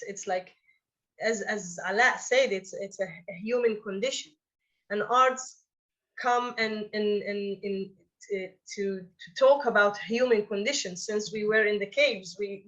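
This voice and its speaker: A woman speaks in a middle-pitched voice, halting and repeating small words. The audio sounds slightly dull and lo-fi. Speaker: director on a festival panel. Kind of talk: Q&A answer.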